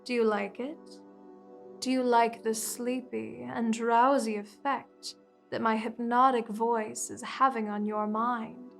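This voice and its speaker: A commanding, seductive vampire queen, as a woman acts her out, drawing out every word at a languid, unhurried pace in a fairly high voice. She lulls and controls with slow, hypnotic phrasing. Soft music plays faintly behind her. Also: little pitch movement, creaky. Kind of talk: ASMR hypnosis roleplay.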